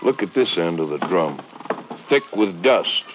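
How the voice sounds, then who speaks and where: An actor playing an earnest small-town Texas sheriff with a folksy manner in a 1950s radio drama. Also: male voice, low voice, expressive, a little slow. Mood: earnest, concerned. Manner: folksy, plain-spoken. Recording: old muffled radio recording, faint noise.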